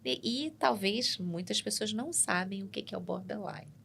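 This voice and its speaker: Woman psychologist explaining calmly. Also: mid-range voice, vocal fry, a little hiss or hum. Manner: measured and explanatory, tags points with "né?". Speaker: psychologist guest. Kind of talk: explanatory interview talk. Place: podcast studio.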